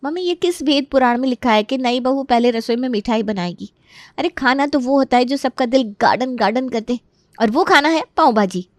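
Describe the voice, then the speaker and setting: A woman's voice: sits high, normal speed, no echo, clean studio sound, voice pushed up, lightly acted. Woman playing a cheeky, wheedling young family member. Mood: cheeky pleading. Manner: playful, wheedling, exaggerated. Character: cheeky, pleading young adult of an Indian family.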